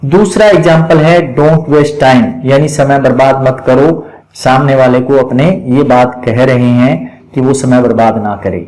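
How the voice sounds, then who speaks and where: Indian guy, kinda high voice, teacher going thru a lesson slowly point by point, repeats stuff. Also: darkish tone, low-quality recording. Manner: methodical, repeats and spells out points. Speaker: teacher of an English grammar class. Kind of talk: instructional lesson, explaining step by step.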